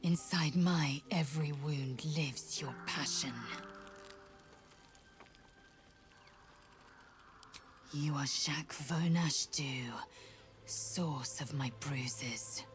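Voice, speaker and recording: A woman voicing a fierce, passionate alien warrior woman in a video game, speaking in intense, solemn, breathy whispers. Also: low voice, slow pace. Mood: intimate, hungry. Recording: music behind the voice.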